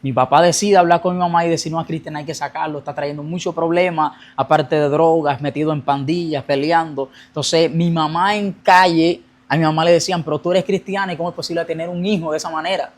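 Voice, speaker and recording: A man's voice: a little high, steady tone, a little fast, few pauses. Young man telling his testimony. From Colombia. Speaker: guest giving a testimony. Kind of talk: personal testimony.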